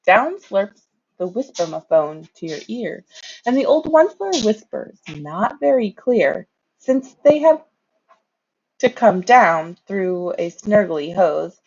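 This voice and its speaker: Female storybook reader, expressive and lively in pitch, taking her time, with dramatic delivery. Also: slow, breathy edge, dull audio. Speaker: reader of children's books. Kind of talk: expressive children's storybook read-aloud.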